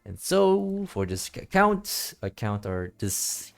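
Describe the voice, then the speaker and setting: A man streaming a game with casual commentary, thinking aloud in a halting, rambling way and repeating his phrases, with game music behind him. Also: lowish voice, very animated, gravelly.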